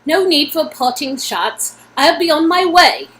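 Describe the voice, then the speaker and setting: A woman's voice: high-pitched, animated, measured pace, fairly bright tone. A woman voicing an aloof, guarded, lonely female secret agent from an interactive story game, with a put-on British accent. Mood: guarded, disbelieving.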